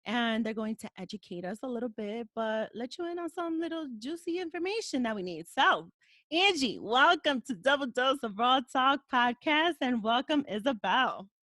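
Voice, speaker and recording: A woman's voice: fairly high pitch, lively intonation, normal speed, fairly bright tone, no room sound, clean studio sound. Laid-back joking woman podcast host. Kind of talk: casual podcast chat.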